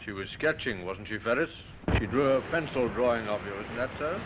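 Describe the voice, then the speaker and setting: Actor as a probing police inspector, muffled radio. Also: male voice, mid-range voice, expressive, fairly quick, close to an everyday voice. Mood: coolly accusing. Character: police inspector, male, probing, quietly cornering a suspect. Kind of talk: radio crime drama acting. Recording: muffled, noisy old radio recording.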